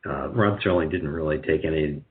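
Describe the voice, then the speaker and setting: A man giving casual interview answers in a halting, self-deprecating way over a phone-like connection. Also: very deep voice. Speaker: guest, radio drama producer. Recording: tinny phone-like line.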